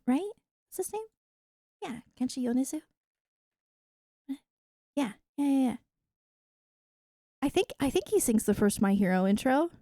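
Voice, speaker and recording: A woman chatting casually to viewers on a livestream in a giggly, animated, rambling way. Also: high voice, dry recording, clean studio sound. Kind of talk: casual livestream chat about anime and games.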